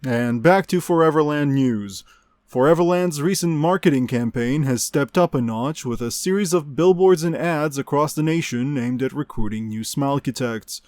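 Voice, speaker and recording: A man's voice: medium pitch, a little mellow, dry recording, lightly acted. A man playing a smug, sarcastic news host in a comedy audio show, delivering parody bulletins and ad reads with dry showmanship. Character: smug, sardonic male news show host stirring up drama.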